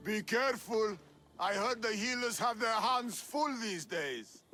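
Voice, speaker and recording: A man's voice: high voice, expressive, takes it slowly, bright, forceful tone, faint music behind, full character voice. A man voicing a boastful, haughty, hot-tempered Olympic trainer in a video game, theatrical and scoffing. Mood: amused challenge. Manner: pompous, scoffing, declamatory.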